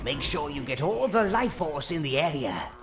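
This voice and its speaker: A wheezy old minion advisor. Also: male voice, fairly high pitch, big pitch swings, normal speed, over music. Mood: gleeful advising. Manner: fawning, wicked relish. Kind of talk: video game cutscene acting.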